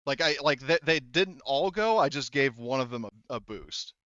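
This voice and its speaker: A man's voice: mid-range voice, lively intonation, moderate pace, bright, forceful tone, no room sound, lo-fi sound. A man running a tabletop game as game master, narrating casually and explaining rules, often hedging and correcting himself.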